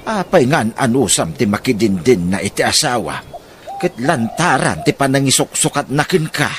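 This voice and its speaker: A man's voice: medium pitch, big pitch swings, moderate pace, a bit bright, a little hiss or hum. A man with an Ilocano accent playing a concerned, disapproving older relative in a radio drama.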